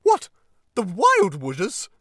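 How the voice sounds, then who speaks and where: A man voicing a boastful, excitable toad gentleman from a storybook, in a gushing, plummy, melodramatic manner. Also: high voice, moderate pace, no room sound, studio quality, voice pushed up. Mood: alarmed. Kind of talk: audiobook character acting.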